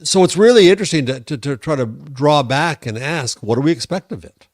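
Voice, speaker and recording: A man's voice: a little high, lively intonation, fairly quick, dry recording, clean studio sound. A man with an American accent co-hosting a tech podcast, casually reasoning aloud and offering his opinions. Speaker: podcast co-host.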